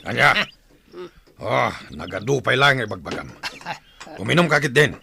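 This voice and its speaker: Man acting a gravelly, blustering Ilocano war chief. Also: medium pitch, animated, moderate pace, noisy background. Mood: gruff, commanding. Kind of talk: Ilocano radio drama acting.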